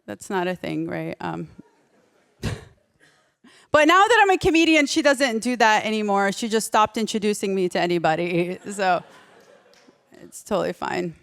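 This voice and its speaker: Woman doing standup, clean clear voice, chatty and keeps interrupting herself. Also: mid-range voice, expressive, measured pace, pauses often, a bit bright. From United States. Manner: conversational, self-interrupting, acts out quotes. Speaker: stand-up comedian. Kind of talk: stand-up comedy set.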